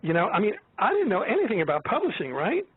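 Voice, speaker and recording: An American man of seventy talking in a rambling, halting way in an interview, often restarting and repeating his words. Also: sits high, wildly swooping pitch, slightly rough. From United States, born in Florida. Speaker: guest, author and researcher. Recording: tinny phone-like line, dry.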